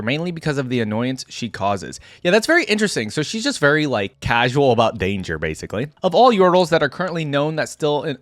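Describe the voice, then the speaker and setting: A man's voice: medium pitch, dry recording. A man giving casual reaction commentary as host of a game lore video, reading bits aloud between remarks. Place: close, dry indoor recording.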